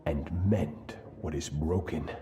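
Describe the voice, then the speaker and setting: A man's voice: extremely low pitch, fairly even intonation, slow pace, dark tone, slight background noise. An actor playing a cold, merciless master swordsman in a video game, with a grave, imperious delivery. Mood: harsh, commanding.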